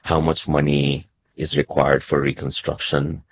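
A man's voice: a bass voice, little pitch movement, measured pace, muffled recording, slight background noise. A man from Nepal speaking as a journalist in an interview, explaining things reflectively and conversationally, often checking in with "right?" and "you know".

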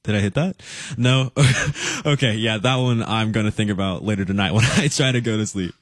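Low-voiced teenage radio DJ, nervous young man. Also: fairly quick, dry recording, studio quality. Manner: nervous, self-mocking, rambling. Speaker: radio DJ on first solo show. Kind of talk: casual on-air chat. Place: close, dry radio studio.

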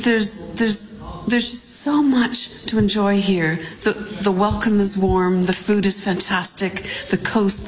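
A woman speaking as a tourism guest on a radio interview, enthusiastic and often repeating words as she looks for the next one. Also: medium pitch. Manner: enthusiastic, repeats words while searching for them.

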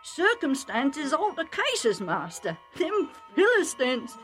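Woman doing a high rough herdsman, pleading. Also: wildly swooping pitch, slow, a bit bright, soft background music, heavily acted. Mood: agitated. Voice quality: rustic working-class grammar. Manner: agitated, insistent, breathless pleading. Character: rough excitable male herdsman, bleeding and pleading.

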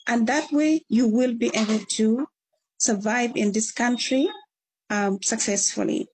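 A woman's voice: a little high, a little slow, darkish tone, breathy edge, rough recording. A woman from northern Uganda with a Ugandan accent, answering interview questions in an earnest, encouraging way. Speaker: returnee guest.

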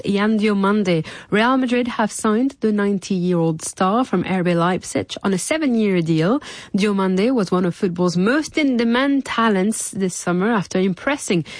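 A woman's voice: medium pitch, no room sound. A woman reading a scripted sports bulletin as a newsreader.

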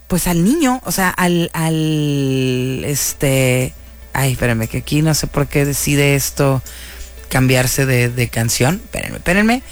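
Animated Mexican woman storyteller. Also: deep voice. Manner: animated, slangy, acts out quoted dialogue. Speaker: storyteller recounting true-crime cases. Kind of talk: casual chatty storytelling to an audience.

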